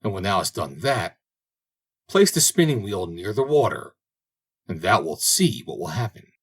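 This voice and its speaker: Storybook wise woman, elderly, voiced by a man: solemn helper, husky, expressive pitch. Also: moderate pace, full character voice. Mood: consoling, instructing. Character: wise old woman, calm magical helper. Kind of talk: storybook character voice.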